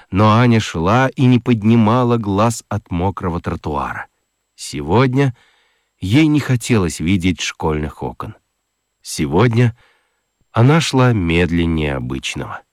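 A man giving an expressive, theatrical scripted narration of a children's story. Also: fairly low pitch, dry recording, clean studio sound.

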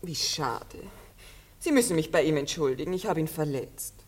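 Breezy young coquette, lowish voice, very animated melody, theatrical radio drama. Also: female voice, moderate pace. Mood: regretful, airy. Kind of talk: classic German radio play acting.

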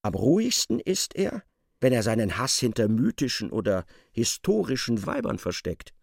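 A man acting as the sardonic narrator of a literary radio play, articulate and expressive. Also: medium pitch, moderate pace, creaky, dry recording, studio quality. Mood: intent. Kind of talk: dramatised scripted narration.

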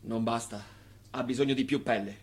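An actor playing an earnest, blunt doctor in a radio play. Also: male voice, mid-range voice, fairly even intonation, normal speed, husky, lightly acted. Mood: grave.

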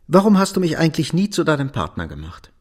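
A man's voice: fairly high pitch, wildly swooping pitch, quick pace, darkish tone. An actor playing a weary, resolute middle-aged man in a German radio drama. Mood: firm, accusing.

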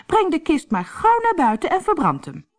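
A woman's voice: extremely high pitch, huge pitch swings, moderate pace, pitched above the performer's own voice, exaggerated voice. A woman voicing a sly, haughty young woman in love, theatrical and exclamatory, for a storybook. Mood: mock disdain. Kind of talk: storybook character acting.

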